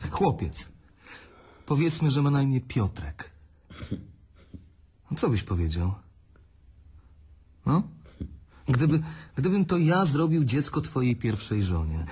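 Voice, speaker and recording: Wounded younger brother, played by a man for radio drama; husky, strained, lively pitch. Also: slow, long pauses, heavily acted. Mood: tense. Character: hurt younger brother, tense and defensive.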